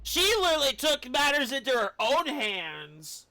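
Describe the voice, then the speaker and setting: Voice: high-pitched, big pitch swings, normal speed, sharp tone, soft background music, low-quality recording. A game streamer giving casual live commentary in a blunt, reactive, sweary way.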